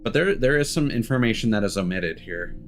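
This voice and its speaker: A man's voice: on the low side, lively intonation, a little fast. A man streaming a game, reading text aloud and adding cheeky, sardonic asides. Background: music.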